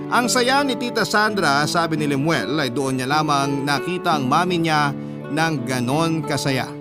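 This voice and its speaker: A Filipino man reads a first-person story for a radio drama. His voice is somewhat high, and prominent guitar music plays loudly behind him. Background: loud guitar music bed.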